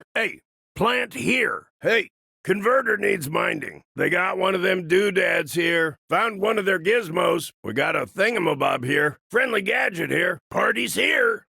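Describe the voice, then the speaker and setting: Grizzled old American cyborg gunslinger for a game: very gravelly, sharp-edged, wry and folksy. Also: male voice, high voice, no room sound, clean studio sound, full character voice. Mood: urgent, commanding. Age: older. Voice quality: gravelly, husky. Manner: folksy drawling slang, wry and grumbling. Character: gruff, grizzled old part-machine gunfighter, wry and world-weary. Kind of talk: video game character voice lines.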